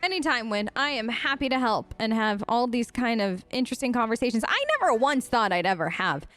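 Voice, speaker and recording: A woman's voice: a little high, expressive, brisk, a bit bright, soft background music. A woman hosting a role-play let's play, improvising her character's side of the dialogue in a chatty, conversational way. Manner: chatty, conversational, reacts with questions.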